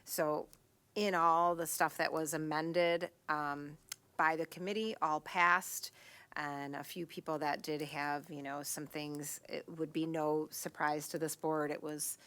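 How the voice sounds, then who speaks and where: A woman's voice: low-pitched, level tone, a little slow, a bit bright, smooth. A woman school board member giving a conversational, rambling report at a board meeting, often correcting herself.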